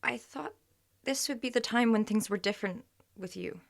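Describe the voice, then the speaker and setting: An exasperated, hurt young woman in an audio drama. Her voice sits fairly high and crackles with marked vocal fry. She talks haltingly and emotionally, often sinking to a murmur. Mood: hurt, frustrated. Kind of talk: naturalistic audio drama acting.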